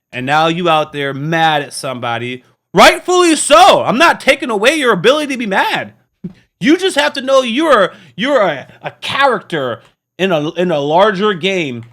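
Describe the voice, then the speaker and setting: A man's voice: a little high, fairly bright tone. A man hosting a reaction video, talking in a preachy, earnest way, often restarting and stammering. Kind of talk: casual rambling monologue.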